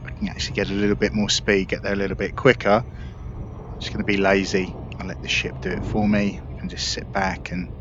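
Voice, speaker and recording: A man hosting a gaming video with casual, relaxed, explanatory commentary. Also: fairly low pitch, brisk. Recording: slightly dull audio, faint game noise.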